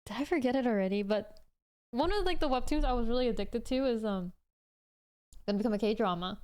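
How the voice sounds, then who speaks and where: A woman in her twenties with a fairly high, very smooth and clean voice. She talks as a livestream host in loose, chatty rambles, answering viewers casually as she goes. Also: expressive, brisk, a little mellow. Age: 20s.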